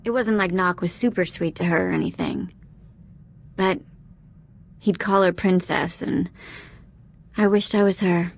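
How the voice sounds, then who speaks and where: A woman playing a cheeky, warm-hearted young alien ex-thief in a video game, speaking in a casual, candid, slangy way. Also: lowish voice, fairly even intonation, a little slow, vocal fry, pauses often. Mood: wistful. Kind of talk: video game dialogue acting.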